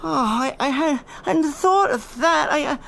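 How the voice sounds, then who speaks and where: A man playing an anxious, weary, learned professor in a radio drama, speaking formally with halting stammers. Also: very high voice, animated, vocal fry, a bit bright, heavily acted.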